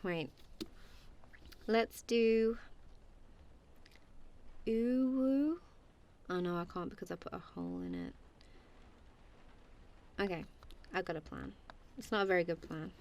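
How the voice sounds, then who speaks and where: A woman's voice: mid-range voice, very animated, slow, long pauses, a little mellow, a little hiss or hum. A woman doing a friendly, goofy ASMR roleplay as casual one-sided chat, playful and giggly, with a self-deprecating, apologetic manner.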